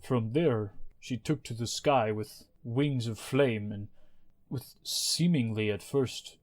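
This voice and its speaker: A man's voice: medium pitch, takes it slowly. A man narrating a tabletop game as game master, telling events earnestly with small fillers. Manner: soft-spoken, earnest storytelling with fillers.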